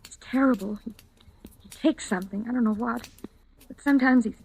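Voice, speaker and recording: A woman's voice: on the high side, a little fast, a little mellow, husky, low-quality recording. An actress playing a frightened young woman on the run in a 1940s radio drama, hesitant and pleading. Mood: fearful. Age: young adult.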